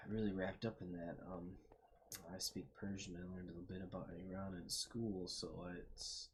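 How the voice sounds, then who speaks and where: A man's voice: low voice, slight background noise, poor audio. A young man hosting a game video, reading text aloud and musing with fillers as he explores. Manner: thoughtful, trailing off with fillers. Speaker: gaming video host. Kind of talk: casual let's-play commentary, reading aloud.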